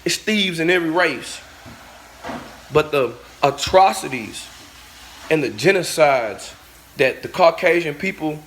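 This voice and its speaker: A man with a high voice delivers a fired-up vlog rant, blunt and profane. He lectures his viewers directly, driving each point home like a sermon. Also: fairly bright tone, a little hiss or hum, low-quality recording. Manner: blunt, profane, preachy, talks straight at the viewers. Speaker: vlogger. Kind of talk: ranting monologue to camera.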